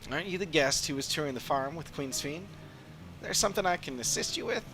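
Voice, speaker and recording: A man's voice: on the high side, a little fast, noisy background, pitched above the performer's own voice, close to an everyday voice. A man voicing a courteous, helpful farm produce worker in video game dialogue, obliging in manner. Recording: game music behind the voice.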